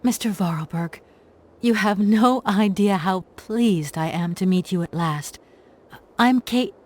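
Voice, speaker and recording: A woman's voice: mid-range voice, a little slow, darkish tone, lightly acted. An actress playing an earnest young professional woman, courteous and businesslike, in a video game. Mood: polite, friendly.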